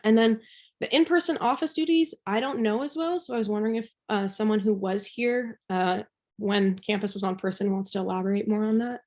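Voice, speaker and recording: A woman's voice: mid-range voice, fairly even intonation, a little fast, a little mellow. A young woman from Belgium giving a casual, informal presentation as a student peer, with an enthusiastic manner. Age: young adult.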